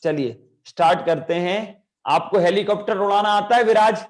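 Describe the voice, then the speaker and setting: Young guy teaching kids over a video call, high voice, sore throat, super peppy. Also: normal speed. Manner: energetic, coaxing kids to shout. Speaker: children's class teacher. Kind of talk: online children's class.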